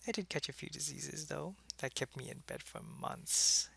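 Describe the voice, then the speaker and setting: A man acts out an old-fashioned male vampire with a creaky, gravelly edge and a bright, crisp tone. He is wistful and friendly, speaking softly and casually as if in an intimate ASMR roleplay. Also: a little high, full character voice. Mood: wistful, reminiscing. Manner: unhurried, casual, soft-spoken, often dropping into whispers.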